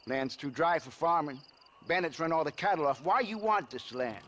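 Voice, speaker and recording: Cranky old homesteader, high-pitched. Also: male voice, lively intonation, moderate pace, fairly bright tone. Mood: grudging. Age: older. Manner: grumbling, curt, suspicious. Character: cantankerous old homesteader wary of strangers, western game. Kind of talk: dramatic video game acting. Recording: clear outdoor noise with crickets.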